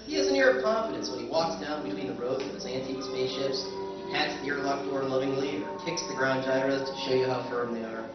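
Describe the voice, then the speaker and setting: A man's voice: mid-range voice, lively intonation, quick pace, close to an everyday voice. A man playing a wry, cautious spaceship buyer who narrates the story in dry storytelling style, in a live recreation of a 1950s radio drama, recorded in an echoey hall from far off. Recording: echoey hall from far off, dull, music behind.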